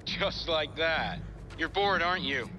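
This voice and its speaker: A man's voice: on the high side, lively intonation, a little slow, background noise. An actor playing a hesitant young male racer in a video game, speaking casually to an old friend. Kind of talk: video game cutscene acting.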